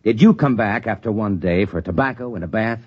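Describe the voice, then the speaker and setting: A man's voice: fairly low pitch, brisk, husky. An actor playing a suspicious, probing trading-post man in a 1950s radio drama. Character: suspicious, brooding male trading-post colleague. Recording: dull 1950s radio recording.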